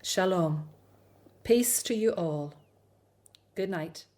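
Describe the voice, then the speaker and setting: A woman playing a worried, doubting Hebrew prophetess in a scripted radio drama, speaking gravely and in measured phrases. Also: fairly low pitch, darkish tone. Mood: doubtful, gentle.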